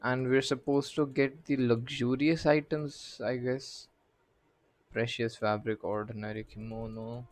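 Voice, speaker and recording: A man doing casual live game commentary, halting and muttering to himself as he streams. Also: medium pitch, flat delivery.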